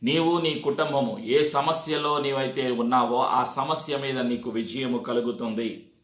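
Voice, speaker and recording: A man's voice: medium pitch, steady tone, unhurried, a bit bright. A man preaching a sermon, exhorting his congregation with repeated phrases and rhetorical questions. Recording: echoey, reverberant sound.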